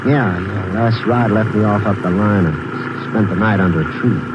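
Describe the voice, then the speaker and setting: An actor playing an edgy, menacing escaped convict with a terse, hard-boiled manner in a 1950s Western radio drama. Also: male voice, lowish voice, steady tone, moderate pace, lightly acted. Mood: nervous, evasive. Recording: noisy, muffled old radio broadcast.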